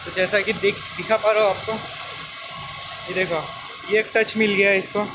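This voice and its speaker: A man's voice: sits high, fairly even intonation, moderate pace. A young man vlogging casually outdoors, asking questions and repeating back what he is told. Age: young adult. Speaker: vlogger, host. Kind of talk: casual on-location vlog commentary and questions. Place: outdoors in an open stone quarry. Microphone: handheld phone at arm's length. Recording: loud outdoor machinery and vehicle noise.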